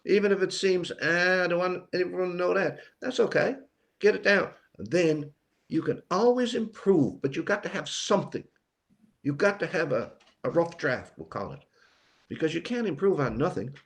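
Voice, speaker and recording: An older man from Newfoundland, a writer being interviewed, speaking reflectively with pauses and repeated words for emphasis. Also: high voice, lively intonation, gravelly. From Newfoundland, Canada.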